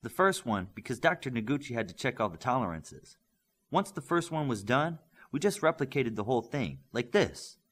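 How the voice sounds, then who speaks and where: A man's voice: mid-range voice, very animated, normal speed, fairly bright tone, husky. Man playing an earnest, curious young superhero. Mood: earnest, explaining.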